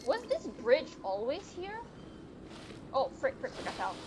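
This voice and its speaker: A woman's voice: sits high, wildly swooping pitch, measured pace, noisy background. A woman giving casual live game commentary as a streamer, chattily reacting aloud to the game and her chat. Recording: game music behind the voice. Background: game music.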